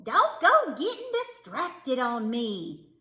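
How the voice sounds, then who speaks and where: Storybook mother armadillo, played by a woman: high, swooping calls, anxious and bossy, folksy. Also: huge pitch swings, pushed and bright, echoey room, exaggerated voice. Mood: calling, worried. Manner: calls out in drawn-out counting and folksy grammar. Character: worried, scolding mother armadillo with a folksy twang.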